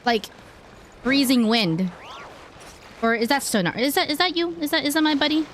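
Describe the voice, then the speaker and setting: A woman's voice: sits high, moderate pace, slight background noise. A woman chatting casually and playfully to viewers as she plays a video game, often exclaiming as she goes. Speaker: streamer playing a video game.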